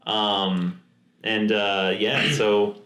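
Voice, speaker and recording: A man's voice: on the low side, fairly even intonation, drawn-out words, a bit bright. A man co-hosting a podcast, chatting casually and enthusiastically, often restarting his sentences. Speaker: podcast co-host. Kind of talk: casual chat. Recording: roomy echo.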